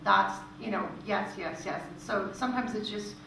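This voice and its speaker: A woman's voice: medium pitch, normal speed, a bit bright. Woman presenting in a very echoey room. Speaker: presenter answering audience questions. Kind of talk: informal question and answer presentation. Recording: echoey room, distant mic, clear background noise.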